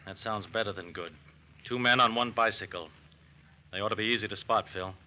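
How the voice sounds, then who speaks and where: An actor playing a brisk, businesslike American FBI agent in an old-time radio drama, speaking in a clipped official manner. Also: male voice, fairly low pitch, close to an everyday voice. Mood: urgent, hopeful. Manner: clipped, official, matter-of-fact. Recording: muffled, faintly noisy old radio recording.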